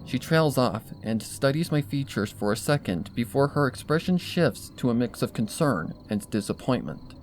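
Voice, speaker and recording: A man reading a scripted story aloud as narrator, in an expressive style. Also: mid-range voice, moderate pace, hardly any pauses, faint music behind. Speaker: fan fiction reader.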